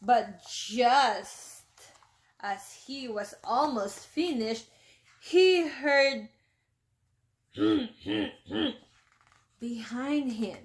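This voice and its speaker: A woman with a fairly high voice reads a storybook aloud to a class of young children, taking her time over every line. She is a teacher who often stops to explain a word or ask the children what they see. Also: animated, very slow, long pauses. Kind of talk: reading a picture book aloud to children.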